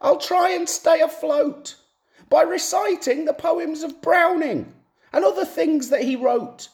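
A shrill, panicking drowning man. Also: a little slow, a little mellow. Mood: frantic. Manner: high squealing cries.

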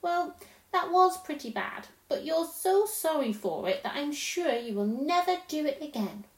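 Woman as a high-voiced, earnest little storybook girl. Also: lively intonation, unhurried, roomy sound. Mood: concerned. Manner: pleading, polite, earnest.

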